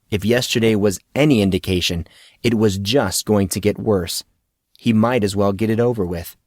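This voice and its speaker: A man's voice: lowish voice, a little mellow, no room sound. Male audiobook narrator, dry studio sound. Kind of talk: scripted story narration.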